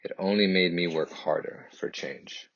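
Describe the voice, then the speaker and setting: A man reading a children's picture book aloud in first person, with earnest, deliberate and expressive delivery. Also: deep voice, highs cut off. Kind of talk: expressive scripted storybook narration in first person.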